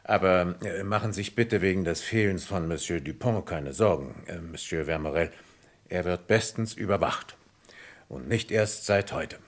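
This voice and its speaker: An actor playing a courteous, methodical French police commissioner in a vintage German radio crime drama, speaking politely and deliberately with probing questions. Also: male voice, deep voice. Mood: dryly insistent.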